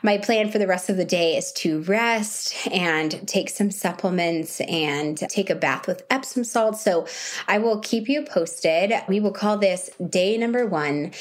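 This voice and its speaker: Woman, 30s, home vlogger with a scratchy, sore-throated voice, slightly low, casual chat to her viewers. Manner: chatty, talking straight to her viewers. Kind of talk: casual video diary.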